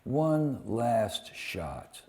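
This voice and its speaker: A man giving a dramatic live poetry reading, delivering short phrases deliberately with weighted pauses. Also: mid-range voice, sing-song, warm tone. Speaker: poet reading translated war poems at a poetry event.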